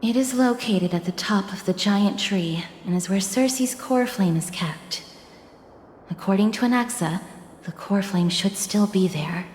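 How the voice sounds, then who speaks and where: A woman playing a courteous, composed young companion in a video game, speaking in a polite, measured and formal way. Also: mid-range voice, darkish tone, slight background noise, natural voice. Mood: calm, explanatory. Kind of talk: scripted video game dialogue. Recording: echoey reverberant hall, music behind.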